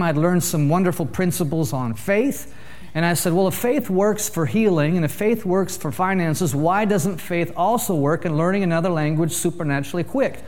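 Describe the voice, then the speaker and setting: Male guest preacher, somewhat high voice, humorous storyteller working the congregation. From Canada. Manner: jokey, lively storyteller addressing a congregation. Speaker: visiting preacher.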